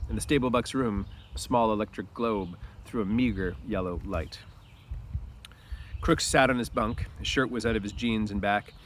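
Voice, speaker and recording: A man narrating a story aloud like a teacher, stopping for informal explanatory asides and clearing his throat. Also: fairly low pitch, fairly bright tone, a little hiss or hum.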